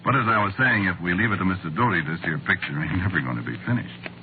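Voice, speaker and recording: A man's voice: low voice, lively intonation, quick pace. An American man acting as a world-weary, sardonic Hollywood screenwriter, narrating conversationally in a 1940s radio drama with wry delivery. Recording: noisy, muffled old radio recording.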